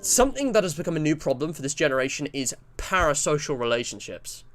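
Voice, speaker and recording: A man's voice: fairly high pitch, very animated, fast talker, fairly bright tone. A twenty-year-old English man chatting casually on a livestream, rambling and often interrupting himself as he reads and comments. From England. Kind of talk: casual livestream chat, reading out survey and viewer messages.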